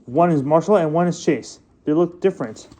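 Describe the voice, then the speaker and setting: Rambling toy-unboxing guy, slightly high voice. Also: fairly quick, warm tone, slight background noise, low-quality recording. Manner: rambling, stumbles over words and restarts often. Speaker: toy reviewer. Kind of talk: casual unboxing review.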